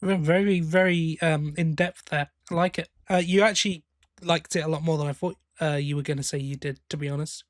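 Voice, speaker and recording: A man co-hosting a podcast, chatting casually through reviews and tentatively softening his opinions as he goes. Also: fairly high pitch, steady tone, fairly quick, no room sound, clean studio sound.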